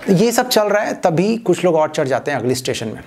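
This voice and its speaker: A man's voice: a little high, fairly quick, vocal fry, a faint crowd. Young guy doing standup, acts out the voices of people in his story, handheld mic, a bit of noise behind. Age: young adult. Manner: animated storytelling with acted-out passenger voices. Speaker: stand-up comedian. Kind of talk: stand-up comedy set. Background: audience laughter.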